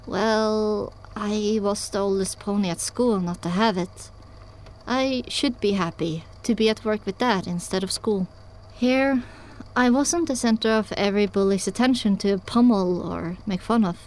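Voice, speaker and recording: A woman's voice: mid-range voice, a little slow, a little mellow, poor audio. A woman reading scripted first-person story narration as the narrator of an audio drama.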